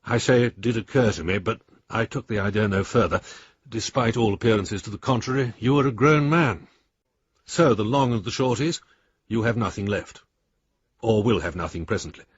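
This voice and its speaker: An actor playing a long-suffering lawyer, reading letters to a friend in a dry, measured, mildly exasperated manner in a radio drama. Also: male voice, lowish voice, husky, no room sound, muffled recording. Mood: reproachful, caring.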